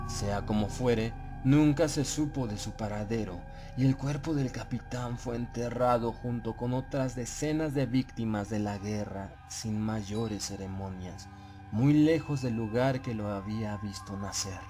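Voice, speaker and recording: A man with a fairly low voice narrates a horror tale like an audiobook. His delivery is solemn and measured, building suspense. Music plays clearly behind him. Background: prominent background music.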